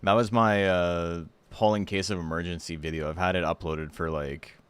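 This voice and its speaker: A hoarse man hosting a livestream, chatting casually and rambling with lots of fillers. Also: low voice, moderate pace. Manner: rambling, relaxed, full of fillers. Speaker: streamer and tournament host.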